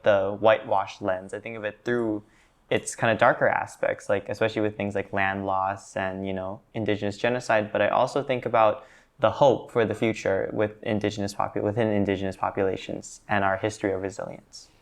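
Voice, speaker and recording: This is a young man speaking in a low voice on a student discussion panel. He is earnest and measured, and hedges his answers with fillers as he reasons them out. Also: level tone. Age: young adult. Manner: thoughtful, hedging with fillers. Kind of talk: class discussion.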